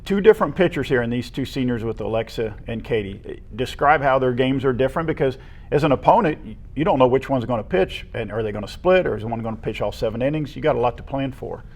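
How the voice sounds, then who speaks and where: A man's voice: mid-range voice, animated, fast talker, darkish tone. A man with a mid-range voice co-hosts a sports talk show. He gives conversational analysis in short, clipped phrases, often running through points like a list.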